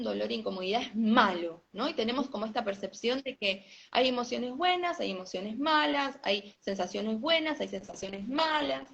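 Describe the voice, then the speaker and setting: A woman, a somatic yoga teacher and guest, speaking calmly and in a measured way with hesitant pauses. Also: on the high side, gravelly. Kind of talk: reflective conversation. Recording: dull lo-fi audio.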